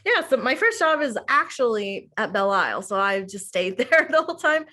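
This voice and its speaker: A woman's voice: a little high, very animated, moderate pace, sharp tone. A young adult woman from the United States, a middle school teacher answering interview questions in a casual, chatty way full of "like" and "um". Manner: chatty, heavy use of "like" and "um".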